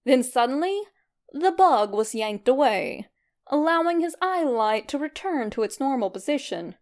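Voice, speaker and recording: A woman reading fan fiction aloud as an expressive, scripted story narration. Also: sits high, normal speed. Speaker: fan fiction podfic reader.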